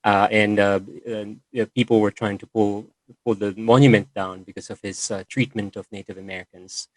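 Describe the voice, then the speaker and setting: Guy hosting a video call, lowish voice, lots of uhs and ums, dry sound. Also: fairly even intonation, moderate pace, dry recording, poor audio. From Philippines. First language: Filipino. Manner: hesitant, many fillers and restarts. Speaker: session host and moderator. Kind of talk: online meeting presentation.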